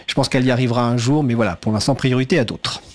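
A man's voice: mid-range voice, rapid-fire. A man hosting a radio call-in show, announcing casually with frequent fillers. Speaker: radio host.

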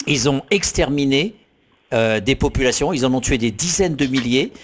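A man's voice: medium pitch, expressive, normal speed, slight background noise. A man speaking emphatically on radio, repeating phrases for force. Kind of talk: impassioned radio monologue.

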